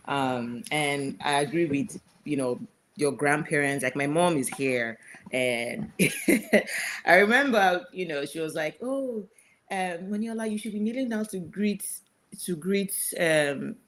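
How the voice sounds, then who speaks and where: A woman's voice: low-pitched, sing-song, unhurried, a little hiss or hum. A woman, telling family stories on a panel, often laughing and hedging with fillers. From Nigeria. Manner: laughing, storytelling with hesitant fillers. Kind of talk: casual panel discussion.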